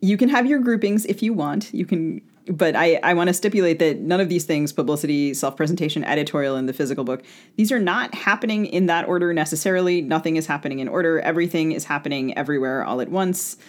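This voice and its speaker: Very low-pitched woman, wry. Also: fast talker. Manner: wry, self-deprecating. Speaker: podcast co-host and novelist. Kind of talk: casual podcast conversation.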